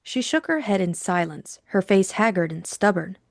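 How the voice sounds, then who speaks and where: A woman's voice: mid-range voice, animated, normal speed, no room sound. Woman narrating an audiobook, very dry sound.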